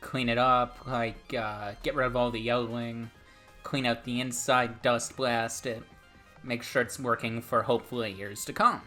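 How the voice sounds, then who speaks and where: Man, Portuguese accent, podcast co-host, relaxed casual chat, faint music behind. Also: medium pitch, normal speed. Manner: relaxed, chatty, repeats words.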